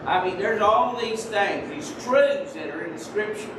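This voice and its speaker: High-voiced soft-spoken preacher in echoey church. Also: male voice, unhurried. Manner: soft-spoken preaching, holds his voice down on the mic. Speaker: lay preacher. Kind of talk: sermon. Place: church sanctuary. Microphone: microphone. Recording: echoey church, distant mic, background noise.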